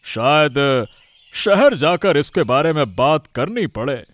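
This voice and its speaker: A man plays a concerned village father in a cartoon. He talks slowly and thoughtfully, in a slightly high voice with a swinging intonation, recorded dry. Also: sing-song, a bit bright, dry recording, exaggerated voice. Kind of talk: Hindi cartoon story voice acting.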